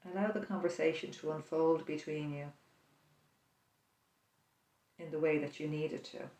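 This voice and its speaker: Soft-spoken prayer leader in an echoey room. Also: medium pitch, steady tone. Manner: slow, soft-spoken, reflective, with pauses. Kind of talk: guided imaginative prayer. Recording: roomy echo, distant mic.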